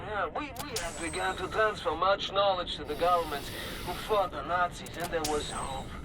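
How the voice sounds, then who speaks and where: An actor as an elderly scholar, high-pitched. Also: male voice, fairly even intonation, crowd noise, lightly acted. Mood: reflective, wistful. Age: older. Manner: halting, repetitive, non-native phrasing. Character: elderly male mystic scholar, gentle, eccentric guardian of ancient secrets. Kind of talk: video game cutscene acting. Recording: echoey hall with music and game effects.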